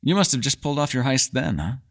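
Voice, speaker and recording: A man's voice: medium pitch, very animated, quick pace, creaky. A man playing a mysterious, erudite elf in a fantasy ASMR roleplay, speaking in a playful, teasing, slightly formal way. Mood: intrigued, teasing.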